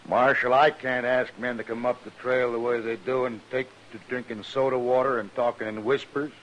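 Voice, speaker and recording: An actor playing a gruff, aggrieved Texas trail boss in an old-time radio western, blunt and challenging, with folksy cowboy grammar. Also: male voice, mid-range voice, level tone, moderate pace, natural voice. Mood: indignant. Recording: dull, hissy old radio recording.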